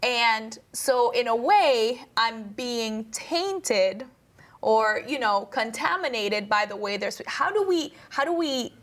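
A woman's voice: on the high side, expressive, takes it slowly, a bit bright. A woman leading a conversational Bible study in an informal, questioning way. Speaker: discussion leader.

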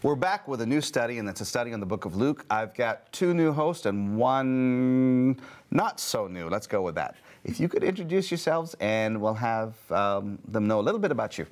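A man's voice: mid-range voice, very animated, normal speed. A man hosting a casual Bible study discussion, playful and joking as he asks questions. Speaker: host.

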